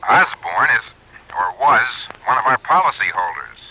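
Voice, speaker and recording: A man's voice: fairly low pitch, sing-song, moderate pace, husky, heavily acted. An American man playing a businesslike, insistent insurance company man over a telephone in a radio drama, earnest and pressing. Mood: businesslike, urging. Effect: telephone filter. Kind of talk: 1950s-60s radio detective acting. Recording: tinny telephone effect on old radio.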